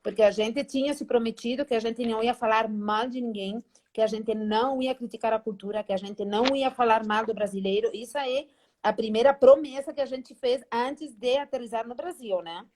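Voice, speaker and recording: A middle-aged woman from Spain with a Spanish accent, a guest on a video-call interview, telling animated stories and acting out old conversations. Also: on the high side, fairly quick. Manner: animated, acts out past dialogues, frequent "né?" tags. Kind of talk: casual storytelling interview.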